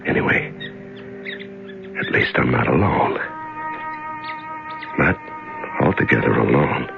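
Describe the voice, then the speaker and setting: Give me a scared captive in a dark cell, fragile and whispery, on a muffled old radio recording. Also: male voice, very deep voice, takes it slowly, creaky, exaggerated voice. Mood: gentle whimsical. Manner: shifts from panic to hushed, fragile self-calming. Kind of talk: 1950s radio drama monologue. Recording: muffled old radio broadcast with faint music.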